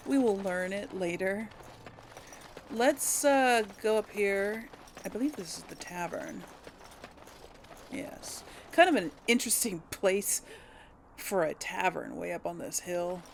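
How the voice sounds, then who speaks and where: A woman's voice: mid-range voice, slow, creaky, pauses often, slight background noise. A woman doing casual game commentary and role-playing dialogue choices, musing aloud with little hums. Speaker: gamer narrating a role play series.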